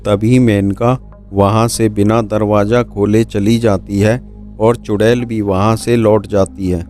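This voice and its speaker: A man's voice: on the low side, flat delivery, takes it slowly, darkish tone. A man reading scripted narration of a horror folk tale for a cartoon. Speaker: storyteller. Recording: music behind the voice.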